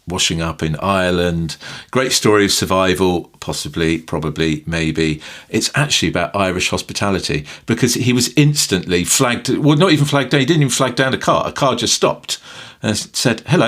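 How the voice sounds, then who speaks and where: Deep-voiced man, jokey podcast co-host. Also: a bit bright. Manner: jokey, rambling, chuckles while talking. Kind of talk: casual chat.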